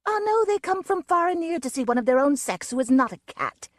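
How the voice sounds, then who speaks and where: A feral wolf-woman from a circus storybook, as a woman reader puts her on, who growls insults at the giant over her bone. Her voice climbs high and loud, sharp with scorn and threat. The delivery is close and dry. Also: big pitch swings, fairly quick, no room sound. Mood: savage. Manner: snarling, biting and mocking.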